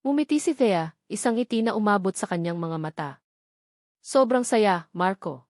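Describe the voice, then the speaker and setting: A woman narrates a romance story from a script. Her voice sits at an average pitch for a woman. The sound is very dry, with no echo around the words. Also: expressive, normal speed, darkish tone.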